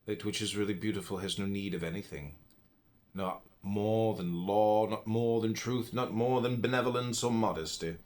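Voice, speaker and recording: A man with an American accent and a fairly low voice reads philosophy aloud like an audiobook narrator. His delivery is solemn and emphatic, with a declamatory turn to the phrases. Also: normal speed, a little mellow. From United States. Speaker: audiobook reader. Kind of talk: audiobook reading of classical philosophy.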